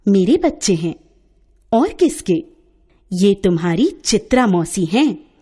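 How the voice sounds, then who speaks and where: A woman's voice: mid-range voice, very animated, takes it slowly, warm tone, husky, full character voice. A woman voicing an affectionate, teasing young woman, a loyal friend in a storybook tale.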